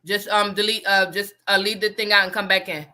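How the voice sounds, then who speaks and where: A deep-voiced woman from New Jersey hosts a live call-in style podcast, her tone bright and forward. She chats loosely and keeps restarting her sentences as she goes. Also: level tone, a little fast. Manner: chatty, self-interrupting, restarts sentences. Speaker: podcast host. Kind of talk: casual live podcast chat.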